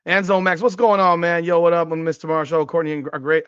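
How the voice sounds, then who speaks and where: A man in his forties hosting a casual sports podcast, ranting and cursing, his voice jumping higher whenever he gets worked up. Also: level tone, fast, fairly bright tone, clear, resonant tone, dry recording, lo-fi sound. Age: 40s. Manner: ranting and profane, voice jumping up in pitch when worked up. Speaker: podcast host. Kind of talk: casual sports talk livestream, reading chat comments.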